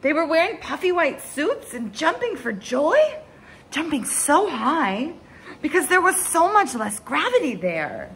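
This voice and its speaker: Animated young woman reading a storybook. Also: high voice, slight background noise. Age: young adult. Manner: dramatic, with drawn-out words and hushed asides. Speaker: story time host reading a picture book aloud. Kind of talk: expressive children's storybook read-aloud. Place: small bright room indoors at home.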